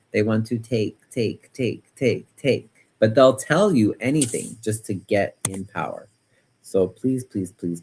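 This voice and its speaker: An American man hosting a casual livestream, chatting and commenting in an animated, opinionated, rambling way, often repeating words for emphasis. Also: on the low side, slightly rough. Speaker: livestream host. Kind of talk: casual livestream chat and political commentary, reading viewer comments.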